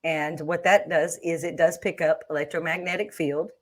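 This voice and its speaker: A woman's voice: sits low, steady tone, normal speed. A woman co-hosting a show, explaining things in emphatic, folksy, casual chat. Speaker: co-host of a paranormal show.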